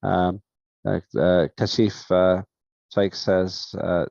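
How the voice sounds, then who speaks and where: A man chairing a parliamentary session over a video call, speaking in a measured, halting way with many fillers. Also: low-pitched, little pitch movement, creaky, darkish tone, no room sound. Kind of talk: formal remarks at an online session. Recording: dull, dry lo-fi sound.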